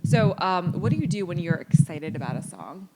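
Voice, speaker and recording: A woman's voice: fairly low pitch, animated, moderate pace. A woman hosting a casual podcast interview, putting questions to a band in a chatty way. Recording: clearly audible background noise.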